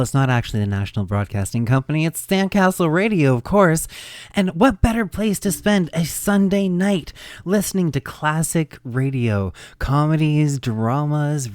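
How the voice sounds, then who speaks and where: A man's voice: a little high, darkish tone, no room sound, clean studio sound. A man hosting a radio show, mixing scripted presentation with casual asides.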